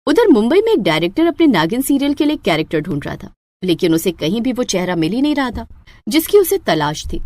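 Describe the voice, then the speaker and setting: A woman narrating a scripted moral story as an expressive storyteller. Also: on the high side, fairly quick, dry recording.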